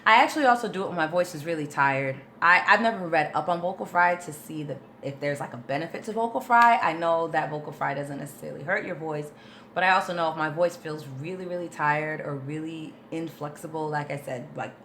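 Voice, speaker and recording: A woman vocal coach with a notably low speaking voice, chatting through a live Q&A and answering viewers' questions informally. She breaks her explanations down patiently, step by step, with plenty of fillers and asides, and a faint hiss sits under her voice. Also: brisk, fairly bright tone. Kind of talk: casual livestream Q&A, reading and answering viewer questions.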